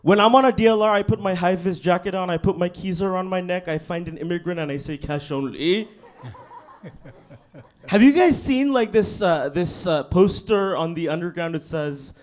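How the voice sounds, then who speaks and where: A young man from Bangladesh performs a stand-up set in a comedy club, his voice sitting a little higher than most men's. He delivers his lines in a laid-back, deadpan way, often tagging them with "you know what I mean". The sound comes through a handheld stage mic. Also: normal speed.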